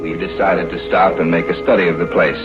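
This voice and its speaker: An actor playing a solemn, haunted storyteller, grave and measured in scripted 1950s radio horror, on a muffled noisy recording. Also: male voice, low voice, little pitch movement, loud music behind the voice, lightly acted. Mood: measured reading. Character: solemn, haunted male storyteller mourning his best friends.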